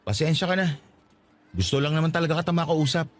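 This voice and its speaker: A man's voice: fairly high pitch, fairly even intonation, quick pace, background noise, close to an everyday voice. An actor playing a lovestruck, persistent young working-class man, pleading insistently in a radio drama. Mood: pleading, lovesick. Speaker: voice actor in a radio-style love story. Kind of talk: Filipino radio drama acting.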